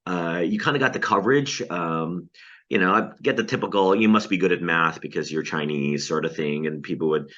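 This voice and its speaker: A man speaks over a video call, his voice very low and bright-edged. He answers questions in a reflective, halting way, filling pauses with ums and uhs. The audio is dull and lo-fi. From Philippines. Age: 40s. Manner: hesitant, many ums and uhs, laughs lightly. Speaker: interviewee, pastor. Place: at home in the Philippines.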